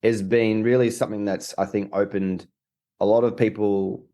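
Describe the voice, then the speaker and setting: A man's voice: on the low side, level tone, moderate pace, dark tone. A young man, a documentary co-director, answering interview questions in a rambling way with many restarts. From Australia.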